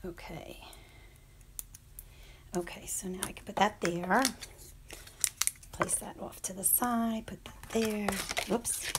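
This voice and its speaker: A woman with a scratchy voice talks through a paper-craft project at a slow, unhurried pace. She chats casually, apologizes often and murmurs half to herself as she works. Faint clicks of tools and paper sound behind her. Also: medium pitch, steady tone. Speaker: crafting demonstrator. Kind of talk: casual hands-on craft tutorial.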